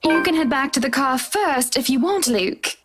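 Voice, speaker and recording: A woman voicing a polite, cordial visitor in a visual novel game, with an expressive, courteous delivery. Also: high voice, moderate pace, music in the background. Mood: courteous, concerned.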